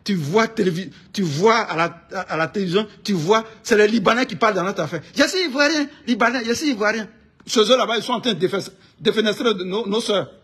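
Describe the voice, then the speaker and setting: High, husky Ivorian man, ranting insistently. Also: brisk, a bit bright. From Ivory Coast. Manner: indignant, emphatic, repeats phrases insistently. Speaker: online political commentator. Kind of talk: informal political rant to camera.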